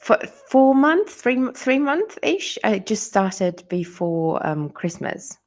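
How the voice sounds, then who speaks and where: A young woman with a German accent, a guest chatting over a video call, hesitant with many ums and quick laughs. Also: on the high side, sing-song, a little mellow. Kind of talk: casual interview chat.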